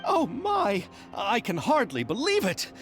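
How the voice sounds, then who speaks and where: A man voicing an eager, excitable young film producer in a video game, speaking politely and gushing. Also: very high voice, a little slow, over music, full character voice. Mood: overjoyed. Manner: polite, gushing, gets giddy. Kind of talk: game voice acting.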